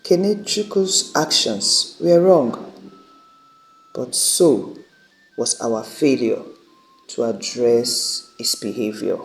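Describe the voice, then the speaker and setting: Storybook eldest brother read by a man: remorseful, caring, mid-pitched, measured and very unhurried. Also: poor audio. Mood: earnest. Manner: earnest, apologetic, measured.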